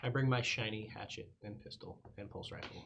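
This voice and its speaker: A man's voice: lowish voice, steady tone, fairly quick, vocal fry, dull audio, noisy background. A man chatting casually as a player in a tabletop roleplaying game.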